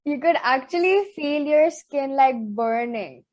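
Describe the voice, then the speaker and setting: Teen girl co-host, slightly high voice, chatty and quick to get worked up. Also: low-quality recording. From Zambia. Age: teens. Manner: chatty, animated, says "like" a lot. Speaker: co-host, interviewee. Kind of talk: casual chat podcast.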